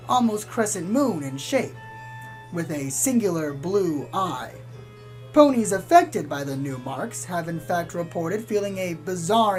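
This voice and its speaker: Casting: woman as blithe small-town pony newsreader for spoof radio drama, lowish voice, measured and deadpan, faint music underneath. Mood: deadpan, ominous. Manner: measured, deadpan about sinister things. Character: calm small-town pony radio host, blithely reporting eerie doom. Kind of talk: scripted spoof community radio news narration.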